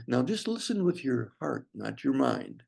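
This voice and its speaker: A man leading a guided meditation as a breathwork teacher, speaking gently in short phrases with long pauses and a gravelly, husky voice. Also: mid-range voice, very animated. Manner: slow, pausing delivery in short phrases.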